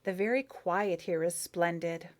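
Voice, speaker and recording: A woman's voice: lowish voice, measured pace, natural voice. A woman voicing a shy, eager young female bee who speaks with admiring politeness. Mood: shy delight.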